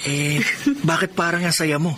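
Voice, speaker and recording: An actor playing an anxious, questioning, protective younger brother in a radio drama. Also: male voice, fairly high pitch, fairly even intonation, normal speed, background noise, lightly acted. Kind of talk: radio drama acting in Tagalog.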